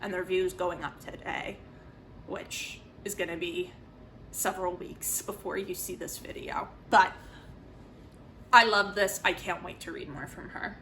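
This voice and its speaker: A woman book vlogger talking casually in an expressive, informal and emphatic way. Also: fairly low pitch, a little slow, slight background noise. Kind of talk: casual book chat to camera.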